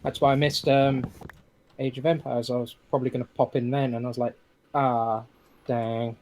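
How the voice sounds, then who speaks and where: A man's voice: medium pitch, little pitch movement, normal speed, darkish tone, slight background noise. A man in a game stream's voice chat, chatting in a loose, rambling way. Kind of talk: casual voice-chat banter.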